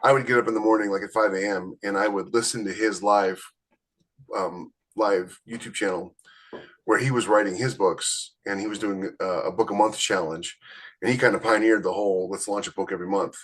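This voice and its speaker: A man with a slightly low voice talks as a podcast co-host. His delivery is casual and conversational, and he often restarts a sentence as he reaches for the details. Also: steady tone, brisk.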